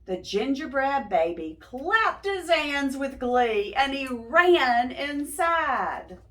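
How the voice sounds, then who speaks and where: Slow-paced woman reading aloud to children. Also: high voice, sing-song, a bit bright. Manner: teacherly, prompts young listeners with simple questions. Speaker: children's read-aloud host. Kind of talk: read-aloud storytelling for young children, with questions.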